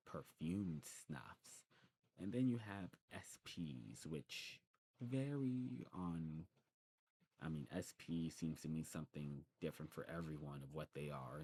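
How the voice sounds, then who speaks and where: A man's voice: extremely low pitch, darkish tone, slight background noise. A man doing an ASMR role-play as an eager demon shopkeeper, explaining things in a rambling, informal way. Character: male demon shopkeeper of a snuff shop, eagerly welcoming.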